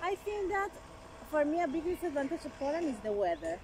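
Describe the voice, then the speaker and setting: A woman's voice: high-pitched, big pitch swings, brisk, noisy background. A woman from Colombia with a Colombian Spanish accent, Spanish as her first language, chatting casually as an interview guest, cheerful and laughing often, with many fillers.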